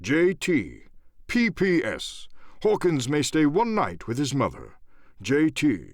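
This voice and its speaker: A man voicing a hearty, excitable English squire in an audiobook, blustering and emphatic as he reads out a letter. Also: fairly high pitch, slow pace, dry recording, clean studio sound, full character voice. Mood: enthusiastic, confiding. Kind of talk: dramatized audiobook character acting.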